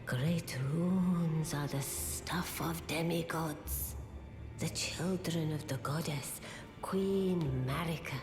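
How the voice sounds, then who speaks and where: An actress playing an ancient, solemn old female oracle in a fantasy game, with a breathy, aged voice and a reverent, portentous way of speaking. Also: deep voice, reverberant, over music. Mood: solemn, knowing. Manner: slow, reverent, portentous, archaic phrasing. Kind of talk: scripted fantasy game dialogue.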